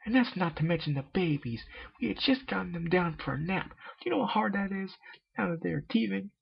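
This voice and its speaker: Man putting on a shrill scolding pony mother. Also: expressive, brisk, gravelly, slightly rough, heavily acted. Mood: exasperated. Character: exhausted, furious motherly pony baker. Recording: dull lo-fi home recording.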